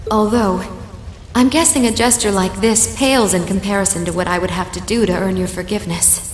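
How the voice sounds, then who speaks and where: A woman's voice: medium pitch, steady tone, fairly quick, echoey room, big-room sound, a little hiss or hum, natural voice, poor audio. A woman playing a smug, teasing witch villainess in a video game, with mocking, theatrical politeness. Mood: composed, commanding. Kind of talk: dramatic video game voice acting.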